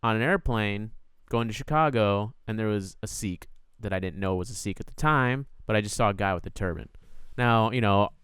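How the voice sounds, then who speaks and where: A man's voice: fairly low pitch, moderate pace, hardly any pauses, a bit bright, smooth, no echo, clean studio sound. A man in his twenties from the United States, co-hosting a film review and telling rambling personal anecdotes. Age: 20s. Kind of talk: casual chat.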